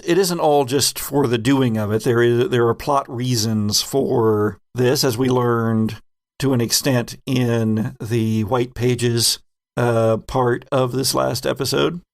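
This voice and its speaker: A man's voice: medium pitch, creaky. A man hosting as game master, reflecting casually in a group discussion, often stopping to rephrase himself in a thoughtful way. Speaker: game master and podcast host.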